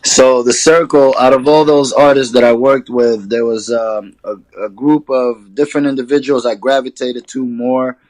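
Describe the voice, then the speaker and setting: A man from Sudan chatting as a rapper guest in an easygoing, slangy manner. His voice sits at an ordinary middle pitch. The recording is dry and close, with little room sound. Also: normal speed, hardly any pauses, dry recording, low-quality recording. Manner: laid-back, relaxed hip hop slang. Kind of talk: casual interview chat.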